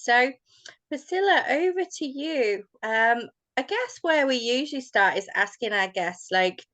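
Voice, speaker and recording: A woman hosting a podcast with a fairly high voice. She sounds bubbly and eager as she brings in her guest and hands the floor over, filling in with short encouraging sounds. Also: a bit bright. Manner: bubbly and enthusiastic, with frequent encouraging "mm"s. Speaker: podcast host.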